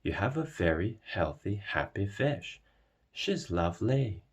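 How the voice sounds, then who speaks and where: A man's voice: lowish voice, very animated, a little slow, slightly rough, heavily acted. A man voicing a cheerful, reassuring female hamster vet in a children's storybook. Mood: delighted. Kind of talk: children's storybook read-aloud.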